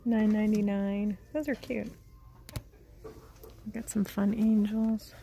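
A woman's voice: mid-range voice, level tone, slow, creaky, dark tone. A woman in her forties chatting as she shops for vintage items, half-murmuring prices to herself, filmed on a phone held at arm's length. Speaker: vintage reseller vlogger. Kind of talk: casual shop-with-me narration. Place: antique mall, then parked car. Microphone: phone camera at arm's length.

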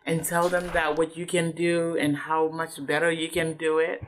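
Woman reading aloud, low-pitched, patiently explaining. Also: flat delivery, moderate pace, a little hiss or hum. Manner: patient, explanatory, often checks understanding with questions. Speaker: adult reading a book with a child. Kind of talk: read-aloud with teaching questions.

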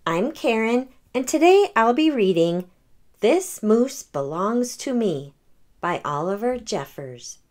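A woman hosting a children's read-aloud, narrating a picture book in an expressive storytelling manner. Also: medium pitch.

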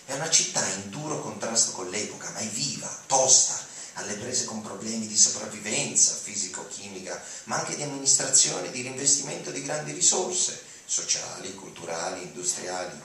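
A man's voice: fairly low pitch, expressive, moderate pace, sharp tone. A young man giving a dramatic reading of written text at an auditorium podium. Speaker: reader. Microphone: podium mic, recorded from audience. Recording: very echoey hall, distant, noisy.

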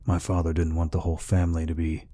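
A man voicing a gentle, peaceful orc healer in an ASMR roleplay, speaking softly and reassuringly without hurry. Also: completely flat delivery. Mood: reflective, calm. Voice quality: deep, husky, breathy. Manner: soft, unhurried, reassuring. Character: gentle, peaceful male orc healer, soft-hearted caretaker.

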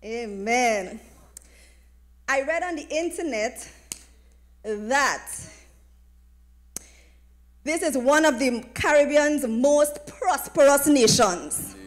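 A woman's voice: high voice, lively intonation, slow pace, pauses often. A Trinidadian woman evangelist addressing a congregation through a handheld microphone, often asking listeners to respond. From Trinidad and Tobago. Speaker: evangelist, guest preacher. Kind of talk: preaching, welcoming a congregation. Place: tented church platform with draped backdrop.

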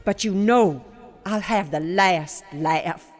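A woman's voice: fairly low pitch, slow pace, faint music behind. A woman playing a theatrical, reproachful psychic in a sci-fi radio comedy, admonishing and dramatic. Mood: reproachful, knowing.

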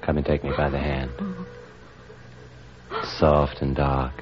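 A man's voice: rumbling low, completely flat delivery, slow. An actor playing a sinister, hypnotic man in a 1940s radio drama. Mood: eerie, coaxing. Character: sinister, hypnotic man luring a girl into darkness. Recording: dull, noisy 1940s radio recording.

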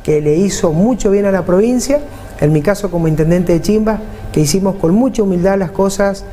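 A man in his forties with an Argentine Spanish accent speaks in a mellow, slightly high voice, earnest and fond of repeating points for emphasis. He sits in a TV studio answering questions, with a faint hum behind him. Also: fairly quick. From San Juan, Argentina. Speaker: mayor and vice-governor candidate. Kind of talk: political interview answers. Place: TV studio, seated at a table.

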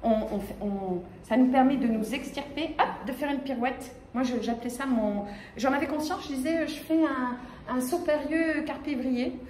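A fairly high-voiced woman in her fifties talks to an audience in a small lecture room, animated and quick to restart her sentences. The room is echoey, with a faint hum of ambience behind her. She comes from the Paris region. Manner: animated, gesturing, many fillers and restarts. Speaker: speaker giving a talk. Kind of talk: lively conference talk.

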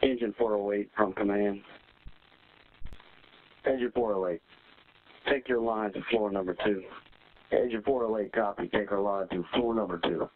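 A man's voice: lowish voice, fairly even intonation, normal speed, long pauses, a little hiss or hum. A man as a fire officer making terse, scripted procedure calls over a two-way radio. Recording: narrow, tinny fire radio transmission.

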